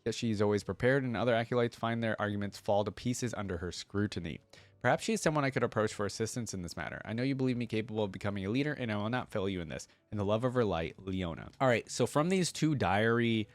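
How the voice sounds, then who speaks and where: A man's voice: fairly low pitch, fast talker, no room sound. A man hosting a reaction video, reading story text aloud and commenting casually. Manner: informal, thinking aloud.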